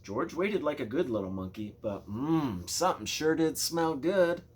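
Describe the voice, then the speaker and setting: A man narrating a children's storybook in an expressive, playful way, speaking directly to young listeners. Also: fairly high pitch, unhurried. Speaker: storybook reader.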